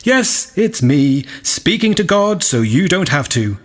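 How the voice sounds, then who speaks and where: A man's voice: a little high, big pitch swings, a little slow. A man playing a vain, self-satisfied, sanctimonious vicar giving a pompous, preachy comic radio sermon. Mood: smug, preachy.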